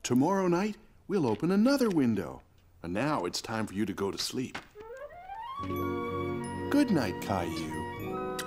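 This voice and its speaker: An actor playing a gentle, patient young father in a children's cartoon, soothing and a little teasing. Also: mid-range voice, huge pitch swings, measured pace, pauses often. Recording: music under the voice.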